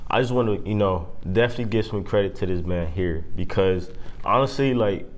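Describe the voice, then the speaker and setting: Twenties male guest from Puerto Rico, lower voice, easygoing chatty delivery. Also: fairly quick. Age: 20s. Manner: laid-back, says "man" and "like" often, stumbles a little. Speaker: guest, detailing business owner. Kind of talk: casual podcast conversation.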